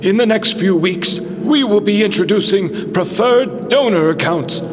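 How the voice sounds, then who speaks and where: A man's voice: sits high, expressive, unhurried. A man playing a slick philanthropist vampire lord in an audio drama, with a polished, formal, corporate way of speaking. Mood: smooth, promotional. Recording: long hall reverb, music underneath.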